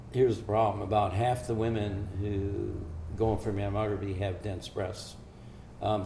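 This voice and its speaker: An older man from Hungary, a physician, giving measured, explanatory answers in an interview. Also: lowish voice, vocal fry, warm tone, slight background noise. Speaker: physician guest. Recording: echoey room with faint ambience.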